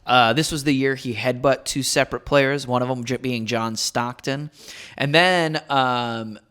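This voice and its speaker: A man's voice: mid-range voice, steady tone, a little slow, a bit bright, clean tone. Laid-back man recapping a story. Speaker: podcast co-host telling the story. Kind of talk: casual podcast chat with storytelling.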